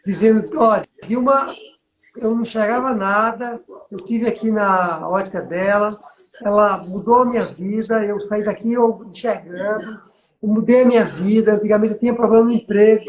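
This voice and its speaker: A man's voice: sits high, steady tone, moderate pace. Northeastern brazilian guy mentoring business folks, storytelling, keeps asking if u get it, echoey room. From Bahia, Brazil. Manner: storytelling, asks listener for agreement. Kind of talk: conversational business coaching.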